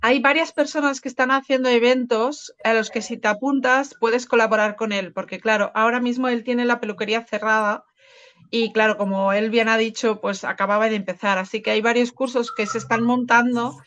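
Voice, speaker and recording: Slightly high-voiced woman a casual chat. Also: fairly even intonation, brisk, fairly bright tone, dull audio. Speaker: co-host introducing the guest.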